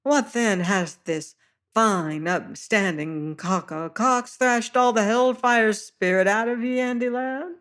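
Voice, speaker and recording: A reader voicing a fat, sly sailor man who talks in a soft, high-pitched, falsely friendly voice in old sea dialect. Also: takes it slowly, clean tone. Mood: oily, wheedling.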